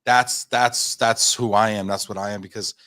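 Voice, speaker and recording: A man's voice: lowish voice, fairly even intonation, moderate pace, sharp tone, no echo, clean studio sound. A man in his thirties, a company founder being interviewed, telling his story in a chatty, self-deprecating, rambling way. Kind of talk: casual interview talk.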